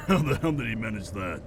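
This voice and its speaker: Audio drama goon: whiny, dim male sidekick, fry-laden, very animated, noisy backdrop. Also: on the low side, normal speed. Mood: baffled, scornful. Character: grumbling foul-mouthed male henchman, whiny and dim.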